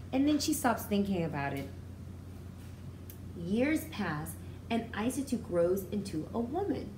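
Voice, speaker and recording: Female preschool educator for picture-book read-aloud. Expressive, very varied intonation, mellow tone, explains simply. Noticeable background noise. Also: medium pitch, rough recording. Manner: expressive, simplifies and repeats for young listeners. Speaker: educator reading aloud to young children. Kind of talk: children's storybook read-aloud with explanatory asides.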